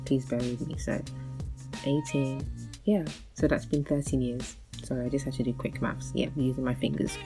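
A woman's voice: rumbling low, flat delivery, moderate pace, music in the background. A woman in her thirties hosting a solo podcast, chatting casually and rambling with many fillers and restarts. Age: 30s. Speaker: podcast host.

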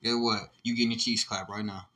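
A man's voice: on the low side, flat delivery, quick pace, heavy background noise. A man co-hosting a casual podcast, answering with blunt, teasing, profane comebacks. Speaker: podcast co-host.

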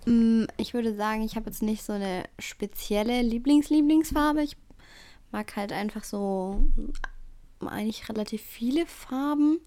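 A young woman speaks slowly and hesitantly, weighing her words as she chats on a podcast. Her voice is slightly high. The recording is dry and close, with no room sound. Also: dark tone, dry recording. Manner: slow, thoughtful, hesitant. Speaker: podcast co-host. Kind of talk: casual chat.

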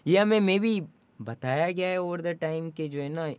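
A man's voice: on the high side, takes it slowly, clean tone. A young man from Pakistan, a rapper, talking earnestly in a casual interview and mixing Urdu with English. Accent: Sindhi-tinged Pakistani English. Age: young adult. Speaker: rapper guest.

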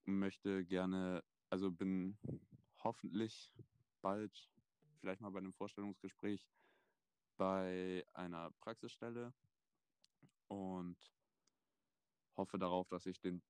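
Low, flat-voiced young man. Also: slow, pauses often, clear, resonant tone, no echo. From Germany. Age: 20s. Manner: relaxed, thoughtful, many fillers. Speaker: podcast host. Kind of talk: casual chat.

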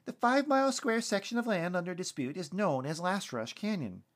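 A man's voice: high-pitched, expressive, brisk, pitched above the performer's own voice. A man voicing a female TV field reporter who reports in a serious, concerned and emphatic way. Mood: earnest, concerned. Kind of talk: TV news report.

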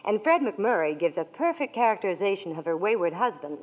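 A woman's voice: mid-range voice, very animated, brisk. A woman Hollywood reporter delivering scripted promotional chat in 1940s radio style. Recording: tinny old radio broadcast.

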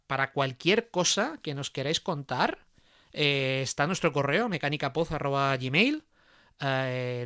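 A man's voice: mid-range voice, bright, forceful tone, clear, resonant tone, dry recording, studio quality. A man from hosting a podcast in casual chat, hesitant, with many fillers and restarts. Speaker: podcast host.

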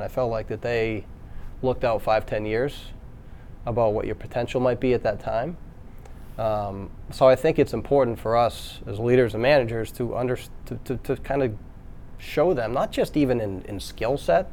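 A man's voice: mid-range voice, moderate pace, mellow, slight background noise. A man, a construction company president, speaking candidly in a panel discussion, often ending points with a questioning tag. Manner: candid, earnest, many fillers and tag questions.

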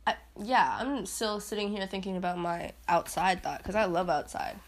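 A young woman hosting a casual solo podcast, chatty and rambling, laughing at herself and swinging into higher playful voices. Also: normal speed. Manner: swings into high put-on voices. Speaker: podcast host.

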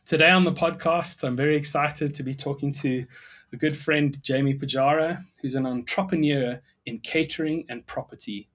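A man's voice: fairly high pitch, fairly even intonation, normal speed, fairly bright tone. A man hosting a podcast, introducing his guest and asking questions in a friendly, upbeat way. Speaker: podcast host. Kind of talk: interview hosting.

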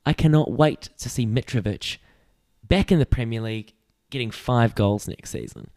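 A man's voice: medium pitch, expressive, moderate pace, a little mellow. A young man with a mid-range voice, talking animatedly and joking through a casual sports chat. He laughs easily and keeps the banter moving as a co-host. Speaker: podcast co-host.

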